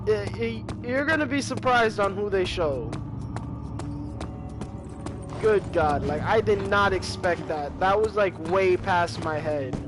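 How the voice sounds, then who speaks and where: A man's voice: high voice, animated, a little slow, hardly any pauses. A young man hosting casual game commentary, stuffy from a cold and rambling with frequent restarts. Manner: rambling, repeating words as he talks. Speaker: gaming channel host. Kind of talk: casual let's-play commentary. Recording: game audio with loud music behind.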